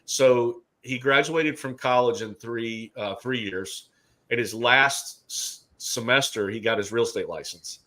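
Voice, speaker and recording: A middle-aged man from Alabama hosts a relaxed discussion. He talks in a chatty, joking way with plenty of fillers, at an ordinary pitch. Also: measured pace. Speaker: host. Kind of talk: casual chat.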